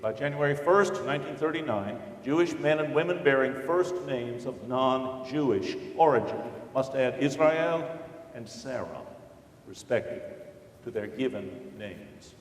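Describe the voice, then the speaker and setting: A man reading scripted historical narration in a measured, formal manner. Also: medium pitch, lively intonation. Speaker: reader in a dramatic reading. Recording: distant mic in echoey hall.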